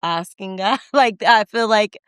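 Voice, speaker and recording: A woman's voice: mid-range voice, a little slow, fairly bright tone, smooth, no echo, studio quality. A young woman co-hosting a faith podcast, talking reflectively and affirming her co-host's points. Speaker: podcast co-host. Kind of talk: faith conversation.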